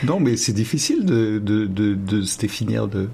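A man's voice: fairly low pitch, expressive, moderate pace, gravelly, darkish tone, a little hiss or hum. A man interviewing a guest conversationally, in a hesitant way that often repeats words. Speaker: interviewer, host.

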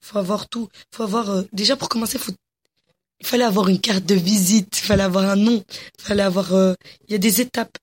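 A young woman from Morocco with a husky, breathy voice. She talks with eager enthusiasm, full of hesitations and restarts. Her pitch sits at an ordinary middle height. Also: steady tone, brisk. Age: young adult. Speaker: interviewee, young entrepreneur. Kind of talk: casual interview answers.

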